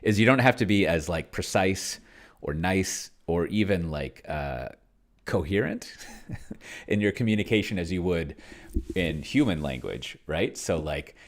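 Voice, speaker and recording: A man's voice: low-pitched, lively intonation, measured pace. A man giving casual screen-share commentary as he plays, musing aloud in a rambling way and trailing off into mutters. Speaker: tabletop game player and video creator.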